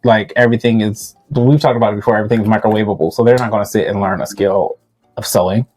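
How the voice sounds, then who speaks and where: A man's voice: fairly low pitch, fast talker, darkish tone, soft background music. A man in his thirties co-hosting a casual, opinionated panel chat, blunt and colloquial with slangy interjections. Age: 30s. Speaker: podcast co-host.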